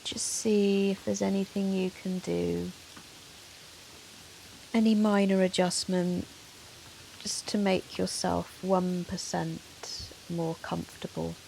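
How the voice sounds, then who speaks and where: A woman yoga teacher leading a guided meditation in a soothing, measured, gentle manner with long pauses. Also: on the low side, flat delivery, creaky, low-quality recording. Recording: soft hiss of rain noise. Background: soft rain sound.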